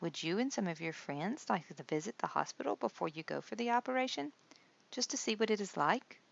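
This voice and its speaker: A woman voicing a practical, calm doctor in a storybook, speaking in a matter-of-fact, reassuring way. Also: medium pitch, a little fast, vocal fry, muffled recording, voice pushed up.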